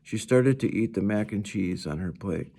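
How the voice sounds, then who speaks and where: A man's voice: fairly low pitch, moderate pace, vocal fry, warm tone, husky. A man reading a children's novel aloud as a teacher would, narrating in the first person and sometimes repeating a phrase.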